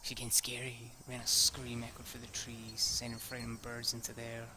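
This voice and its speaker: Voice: mid-range voice, completely flat delivery, normal speed, very noisy. A person reading a visual novel aloud as a dramatic narration, sometimes stumbling over a line and rereading it. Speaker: let's play narrator.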